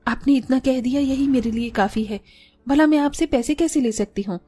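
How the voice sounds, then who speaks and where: Casting: actress as modest young cartoon cook, polite, earnest, highish voice. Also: female voice, moderate pace, warm tone, breathy edge, faint music behind. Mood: modest hesitation. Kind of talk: cartoon story acting.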